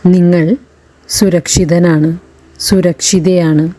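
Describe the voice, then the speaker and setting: A woman guiding a meditation in a gentle, soothing way, in short phrases with long pauses. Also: on the low side, flat delivery. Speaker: meditation guide. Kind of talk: guided meditation narration. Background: background music.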